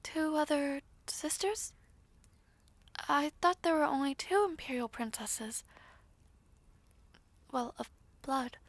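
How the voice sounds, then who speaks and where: A woman voicing a gentle, reserved young princess in a fantasy audio roleplay, speaking politely and formally with some hesitation. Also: high-pitched, slow, long pauses, no room sound. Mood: uncertain.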